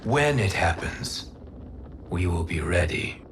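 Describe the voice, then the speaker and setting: An actor playing a proud male wind god in a video game cutscene, measured and formal with a wry edge. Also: low-pitched, expressive. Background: game score music.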